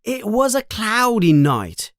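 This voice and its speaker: A man's voice: high voice, very animated, slow, fairly bright tone, dry recording, studio quality, voice pushed up, heavily acted. A man voicing a friendly, easygoing young boy in a storybook reading.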